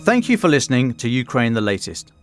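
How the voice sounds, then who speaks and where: British guy hosting a podcast, medium pitch voice, reading notices over music. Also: very animated, fairly quick. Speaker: podcast host. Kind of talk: scripted podcast narration and announcements.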